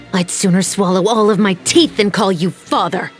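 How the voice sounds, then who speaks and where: A woman's voice: mid-range voice, unhurried, faint music behind, natural voice. Young musketeer heroine, female actor: medium register, defiant and earnest game drama, light musical backing. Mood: defiant, bitter. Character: defiant vengeful young musketeer daughter, steadfast. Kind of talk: dramatic game cutscene acting.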